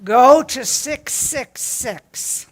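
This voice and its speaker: A woman speaking at a church service in a slow, deliberate, homespun way, with what she calls a low voice. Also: expressive. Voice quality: describes her own voice as low. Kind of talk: gentle spoken reflection and hymn lead-in. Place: church sanctuary.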